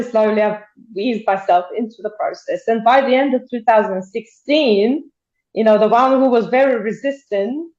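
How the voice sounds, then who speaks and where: A woman's voice: mid-range voice, darkish tone, echoey room, dull audio. A woman from Bulgaria telling her story as a guest in a video call interview, chatty, with frequent laughs and restarted sentences.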